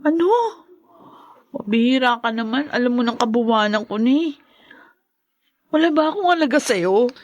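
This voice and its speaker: Actress as a plaintive, high-voiced young Filipino mother. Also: normal speed, long pauses, no room sound, dull audio, lightly acted. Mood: hurt, tearful. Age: young adult. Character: hurt, meek young mother with newborn. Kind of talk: radio drama acting.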